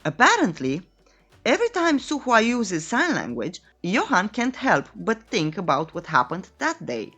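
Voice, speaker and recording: A woman's voice: a little high, fairly bright tone. A woman reading a scripted story recap as a narrator, in a playful, tongue-in-cheek way. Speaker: narrator of a comic recap channel.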